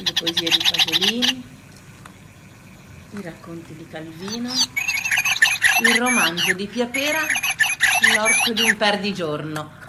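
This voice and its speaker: A woman performing a comic autobiographical stage monologue in a theatrical, expressive storytelling manner. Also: mid-range voice, a little slow, long pauses, very noisy.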